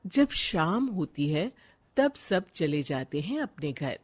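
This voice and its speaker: Female narrator for scripted stories: unhurried pace, lively intonation. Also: low voice, slightly rough.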